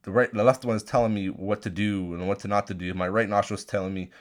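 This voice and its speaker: A very deep-voiced man with a blocked, stuffy nose rants alone into a desk mic. He swears nearly every sentence and rambles from thought to thought in an excitable, casual way. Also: quick pace. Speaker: podcast host. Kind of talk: casual solo rant. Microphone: desk microphone at a laptop.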